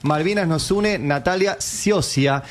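An Argentine man hosting a loose, chatty talk show, jumping in with quick, excited reactions. His voice sits a little high for a man. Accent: Argentine Spanish. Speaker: host. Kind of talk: casual chat.

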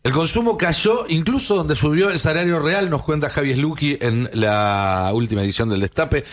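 A man's voice: on the high side, moderate pace. A man with an Argentine accent hosting a political news show, giving opinionated commentary in an emphatic way with rhetorical questions.

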